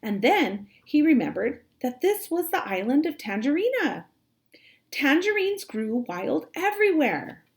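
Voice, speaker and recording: A woman reads a children's story aloud like a teacher, expressively, with dramatic emphasis and drawn-out words. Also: high-pitched, sing-song.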